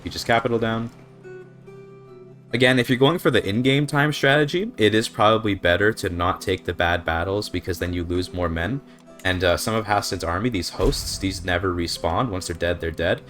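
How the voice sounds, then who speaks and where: A young man with a low, deep voice and a slightly scratchy throat, talking into a desk mic. He explains his game strategy in a relaxed, thinking-aloud way, with soft music playing faintly behind him. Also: normal speed. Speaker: speedrunner commentating gameplay. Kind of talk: casual gameplay commentary. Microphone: desk microphone.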